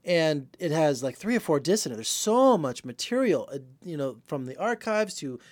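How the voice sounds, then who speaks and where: Man, slightly high voice, emphatic, enthusiastic, casual movie reviewer chat. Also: very animated, normal speed. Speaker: film reviewer.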